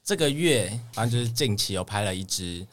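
A man's voice: fairly low pitch, expressive, fairly quick, heavy background noise. A man, a young pop singer answering casually as a podcast guest. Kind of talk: casual interview chat.

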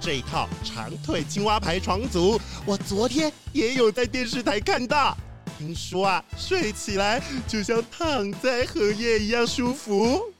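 A woman's voice: on the high side, sing-song, a little slow, music in the background. A woman playing a polite, chatty, chirpy delivery worker in a children's audio drama. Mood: chirpy, admiring.

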